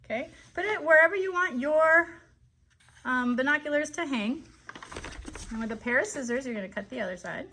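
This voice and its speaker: An animated woman hosting an informal live lesson for children, chatty and often checking in with questions. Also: high-pitched, a little fast, slight background noise. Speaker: children's educational show host.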